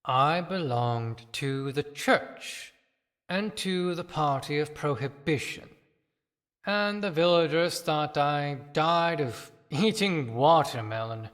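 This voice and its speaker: A man's voice: on the high side, slow pace, a bit bright. A man voicing a hypocritical older churchman, a secret drinker, in a dry, subdued audio-play monologue. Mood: sly, confiding. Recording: long cavernous reverb.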